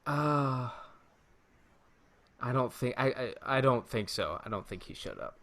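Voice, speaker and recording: A man's voice: medium pitch, expressive, measured pace, fairly bright tone. A man co-hosting a podcast, telling stories casually with an enthusiastic, jokey and emphatic manner. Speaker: podcast co-host. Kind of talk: casual storytelling and banter.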